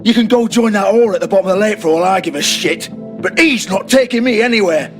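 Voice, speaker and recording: A crude young club owner in a game, cornered and foul-mouthed, his voice pitched high and spitting defiance. Music swells steadily behind him. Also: animated, fast talker. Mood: furious. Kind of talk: video game voice acting.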